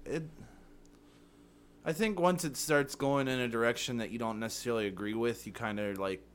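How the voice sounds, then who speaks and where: A man in relaxed conversation as a podcast co-host, with an average-pitched voice. He talks in a loose, joking way, throws in crude jabs and keeps checking in with "you know what I mean?". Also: normal speed, slight background noise. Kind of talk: casual chat.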